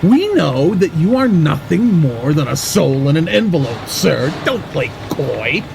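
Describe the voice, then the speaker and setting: An actor playing a cold-blooded, threatening killer in a period audio drama, speaking with deliberate menace. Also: male voice, on the high side, very animated, vocal fry, background noise, exaggerated voice. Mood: menacing. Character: menacing, cold-blooded male assassin in an overcoat.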